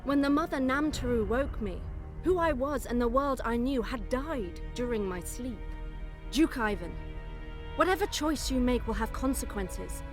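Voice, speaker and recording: A woman playing a grave, poised undead necromancer noblewoman in a fantasy game, speaking in measured, formal phrases. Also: on the high side, lively intonation, over music, natural voice. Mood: grave, resolute. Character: solemn, poised undead necromancer noblewoman telling her people's history. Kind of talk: scripted fantasy game narration and dialogue.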